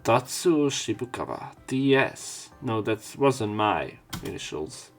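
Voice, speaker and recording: A man's voice: medium pitch, expressive, takes it slowly, soft background music. A man reading a visual novel's text aloud, breaking off now and then for casual, jokey commentary. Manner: casual, jokey asides between read lines. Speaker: let's play narrator.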